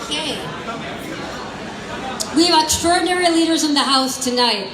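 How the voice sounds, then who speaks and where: A woman emcee with a high voice introduces honorees in an upbeat way. She stands back from the mic, so her voice sounds distant. It echoes through the hall over loud crowd noise. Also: moderate pace. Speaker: event emcee. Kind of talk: ceremony announcing. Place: large indoor event hall. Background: loud crowd chatter.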